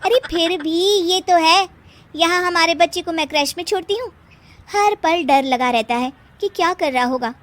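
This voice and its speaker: A woman's voice: very high-pitched, normal speed, pitched above the performer's own voice. A woman voicing an envious, chatty young female office friend in a moral story, informal and frank.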